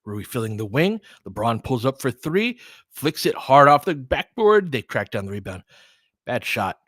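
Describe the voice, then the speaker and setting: A man's voice: mid-range voice, sing-song, moderate pace, a bit bright, dry recording, clean studio sound. A man giving live basketball play-by-play and casual analysis as a candid, colloquial fan commentator who reacts openly and swears.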